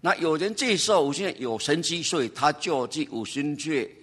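A man with a slightly raised pitch and a husky edge to his voice. He speaks in short phrases and pauses between them so an interpreter can keep up. He sounds like a church elder giving a steady account. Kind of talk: testimony in Mandarin with pauses for interpretation.

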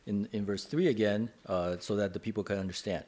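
A man interpreting a sermon phrase by phrase, halting and repeating words as he searches for phrasing. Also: lowish voice, steady tone, a little mellow.

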